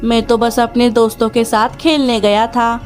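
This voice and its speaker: A woman's voice: on the high side, little pitch movement, normal speed, darkish tone, faint music behind, close to an everyday voice. A woman voicing a lazy, sulky young son bird in a children's cartoon, in a whiny, childish way.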